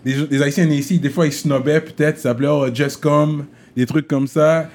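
A man's voice: a little high, fairly even intonation, moderate pace, a little mellow. A man with a Quebec French accent hosting casually, mixing street slang and English phrases into his French. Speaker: podcast host.

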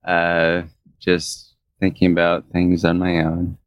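A man co-hosting a podcast, speaking in a halting, hesitant, wry way. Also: deep voice, monotone, clean tone, no room sound, highs cut off. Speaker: podcast co-host. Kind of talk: casual chat.

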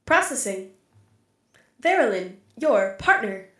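A woman playing a literal-minded female AI archive computer in an audio drama, speaking in a flat, formal, deliberate way. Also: a little high, reverberant, exaggerated voice. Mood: literal, dry. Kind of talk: scripted audio drama acting.

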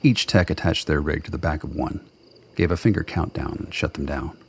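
A man narrating a scripted radio drama in a measured, wry storytelling manner. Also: extremely low pitch, vocal fry, darkish tone, slight background noise.

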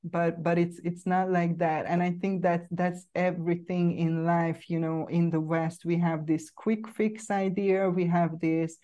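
A woman's voice: on the low side, level tone, measured pace, few pauses, warm tone. A woman with a Hungarian accent talking conversationally and earnestly as a podcast host, often saying "you know". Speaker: podcast host and psychedelic integration coach.

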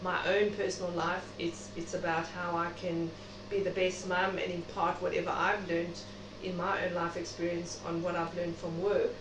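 A woman's voice: fairly low pitch, level tone, normal speed, hardly any pauses. A middle-aged South African woman speaking reflectively and chattily as a guest in an interview. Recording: noisy, echoey room, distant mic.

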